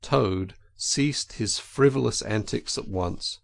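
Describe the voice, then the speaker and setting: A man narrating an audiobook in an expressive, theatrical and deliberate storytelling style. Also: on the low side, gravelly.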